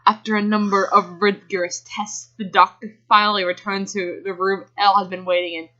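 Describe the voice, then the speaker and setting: A woman reads fan fiction aloud in an amateur dramatic style, with casual asides. Her voice sits at a middling pitch. The home recording is slightly dull and lo-fi. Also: level tone, normal speed, bright, forceful tone. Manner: giggly, often breaks off to react. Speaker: reader.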